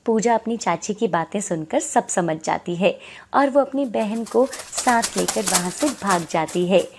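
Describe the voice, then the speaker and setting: A woman's voice: mid-range voice, expressive, measured pace, vocal fry, slight background noise. A woman reading scripted narration for a moral story as its storyteller.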